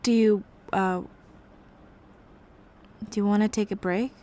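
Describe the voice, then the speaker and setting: An actress playing a caring, candid young granddaughter in an audio drama, speaking softly and casually with some hesitation. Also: female voice, medium pitch, fairly even intonation, slow pace, natural voice. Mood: concerned. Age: young adult.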